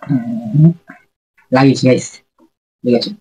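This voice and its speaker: A man's voice: mid-range voice, fairly even intonation, a little slow, darkish tone, breathy edge, poor audio. A young man, chatting casually and crudely as a prank-call video host. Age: young adult. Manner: informal, slangy, crude. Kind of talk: casual chat to viewers and prank calls.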